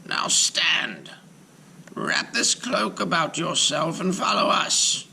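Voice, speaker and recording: A man voicing an elderly male rabbi, solemn and commanding, his lines raised high and urgent, at times whispered. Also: unhurried, vocal fry, pushed and bright, exaggerated voice.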